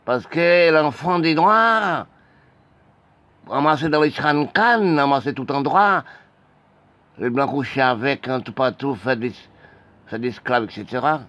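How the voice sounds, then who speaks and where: Halting, impassioned man with restarts. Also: mid-range voice, fairly bright tone, no room sound. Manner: halting, repetitive, many restarts and broken phrases. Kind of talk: impassioned spoken monologue.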